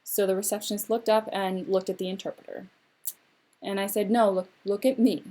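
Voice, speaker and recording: Young woman, mother, telling a personal story as a spoken interpretation; measured, phrase-by-phrase pacing. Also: medium pitch, steady tone, dark tone.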